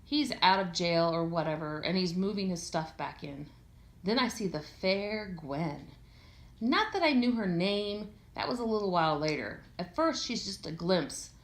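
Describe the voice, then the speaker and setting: A woman reading a first-person story aloud in an expressive, conversational way with a sardonic, slangy delivery. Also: fairly low pitch, moderate pace. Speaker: audiobook reader. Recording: echoey room.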